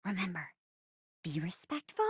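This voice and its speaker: A peppy, theatrical video game chair who hosts improv shows. Her high, bright voice swings widely with bubbly, encouraging showmanship. The recording is close and dry. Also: sing-song, a little slow, no room sound, full character voice. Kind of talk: video game character voice acting.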